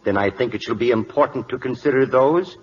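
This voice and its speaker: An actor playing an earnest court reader in an old radio drama, reciting written words in a careful, measured, formal way. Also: male voice, medium pitch, darkish tone, close to an everyday voice. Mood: solemn. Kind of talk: 1940s radio drama acting, formal reading. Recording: dull, noisy old radio recording.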